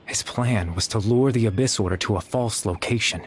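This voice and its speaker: A man voicing a young man who exists only in memory, calm and apologetic, speaking in a measured, quiet, explanatory way. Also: lowish voice, husky. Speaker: game character. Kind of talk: video game voice acting.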